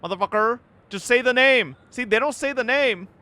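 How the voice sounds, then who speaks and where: A man's voice: very high voice, normal speed, bright, forceful tone. A man doing brash, profane live reaction commentary as he streams a game story, heckling as he goes.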